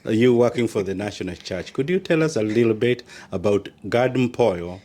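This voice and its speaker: A man's voice: on the low side, animated, brisk, warm tone. A man hosting a radio interview, asking conversational questions and giving encouraging short acknowledgements.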